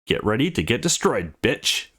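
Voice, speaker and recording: Man reading as a cocky, animated young woman gamer. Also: on the low side, moderate pace, breathy edge, full character voice. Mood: taunting. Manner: taunting, brash. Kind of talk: visual novel dialogue read aloud.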